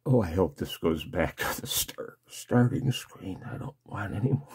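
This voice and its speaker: An older man with a gravelly, husky voice talking casually and haltingly in a personal, emotional, confessional video blog. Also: deep voice, expressive. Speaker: amateur radio video blogger. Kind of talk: personal screen-share vlog, casual monologue.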